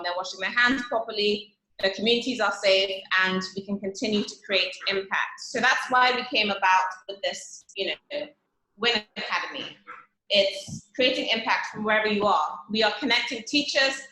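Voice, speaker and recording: A woman opens an online event with a welcome speech, her talk sprinkled with "you know." Her voice is bright and crisp at a middle pitch. The room gives back a noticeable echo. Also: normal speed, slight background noise. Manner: frequent "you know" fillers. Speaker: event host.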